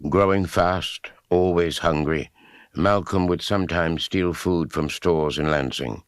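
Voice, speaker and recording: A man with an extremely deep voice delivers scripted biographical narration in the third person, like a documentary. The sound is very dry, with almost no room around the voice. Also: measured pace, smooth, no room sound. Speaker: audiobook narrator.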